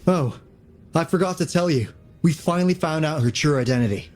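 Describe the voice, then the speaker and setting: An actor playing an earnest, friendly young comrade in a video game, forthright and husky-voiced. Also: male voice, on the high side, brisk, a little mellow, natural voice. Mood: serious, explanatory. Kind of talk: video game character acting.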